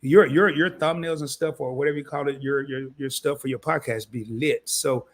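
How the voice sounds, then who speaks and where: A man's voice: mid-range voice, moderate pace. A man hosting a casual live-stream interview, slangy and joking at his own expense, his voice a little scratchy tonight. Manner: animated, slangy and laughing at himself. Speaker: host.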